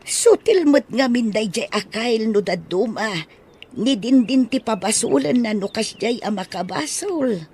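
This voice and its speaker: A woman playing a protective, outspoken older household helper in an audio drama, husky and emphatic, with a Filipino accent. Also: on the high side, expressive, unhurried, a little mellow. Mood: indignant sympathy. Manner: exclaiming, emphatic.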